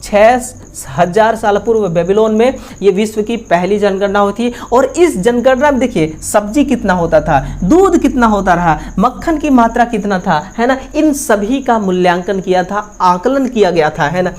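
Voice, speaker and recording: A woman's voice: mid-range voice, lively intonation, moderate pace, hardly any pauses, darkish tone. A woman with an Indian accent teaching an exam-review lesson, explaining instructively with repeated tag questions and repeated answers.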